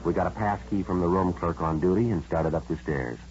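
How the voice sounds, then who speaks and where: Low, deadpan American police detective, played by a man. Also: brisk, noisy background, close to an everyday voice. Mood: matter-of-fact. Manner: clipped, deadpan, matter-of-fact. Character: terse, unflappable male police detective sergeant. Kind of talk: 1950s radio drama narration and dialogue. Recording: dull vintage radio recording.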